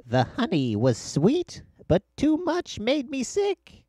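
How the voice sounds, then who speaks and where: A man's voice: very high voice, wildly swooping pitch, takes it slowly, a little mellow, no room sound, clean studio sound, pitched above the performer's own voice, exaggerated voice. A man voicing a small, young male ant with a wistful, greedy temper in a storybook reading. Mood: queasy.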